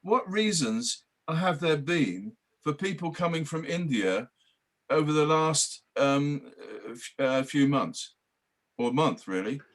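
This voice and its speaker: A man's voice: a little high, animated, takes it slowly, fairly bright tone. Older man, interviewer, probing, persistent questions, video call. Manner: probing, presses for answers. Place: room at home.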